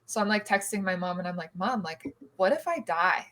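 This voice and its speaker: A woman's voice: medium pitch, animated, brisk, fairly bright tone. A woman, telling a story on a podcast, filling her talk with "like". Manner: chatty, lots of "like" fillers. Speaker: podcast co-host. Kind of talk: casual storytelling chat.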